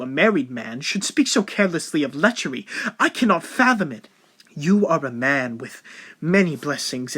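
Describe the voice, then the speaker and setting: An actor playing an upright, honorable military lieutenant, earnest and formal in a period audio drama. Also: male voice, on the high side, a bit bright, breathy edge, exaggerated voice. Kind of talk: stage-play style period audio drama acting.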